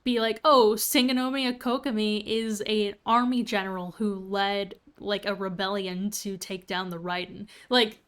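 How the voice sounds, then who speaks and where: A woman's voice: mid-range voice, level tone, moderate pace, few pauses, fairly bright tone, smooth. A woman livestreaming a game, chatting casually and musing in a rambling, giggly way. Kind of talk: casual chat while playing a game.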